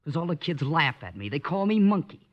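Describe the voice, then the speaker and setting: A man's voice: on the high side, sing-song, brisk, dull audio. An actor playing a bitter, defensive teenage boy with an American accent in an old-time radio drama. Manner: slangy, defensive, emotional. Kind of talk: 1940s-50s American radio drama acting.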